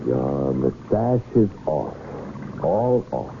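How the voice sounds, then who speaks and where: A scheming royal aide, deep and gravelly, deferential yet insistent. His delivery is emphatic radio drama acting. The recording is noisy and tinny, like an old broadcast. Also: sing-song, slow, exaggerated voice. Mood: puzzled. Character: smooth-talking male con man posing as royal aide.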